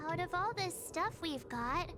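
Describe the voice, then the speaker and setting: A woman's voice: extremely high pitch, lively intonation, normal speed. An actress playing a perky, outspoken young girl in a video game, chirpy and casual, with music behind her. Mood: bright, friendly. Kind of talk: scripted video game voice acting. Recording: music under the voice.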